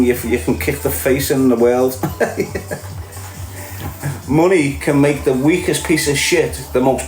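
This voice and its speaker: A Scouse man rants at an unhurried pace, crude and mocking, laughing at his own jokes. His voice rings in an echoey room with faint music playing behind him. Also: a little high, a little mellow. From Liverpool, England. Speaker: online streamer. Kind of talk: ranting casual monologue.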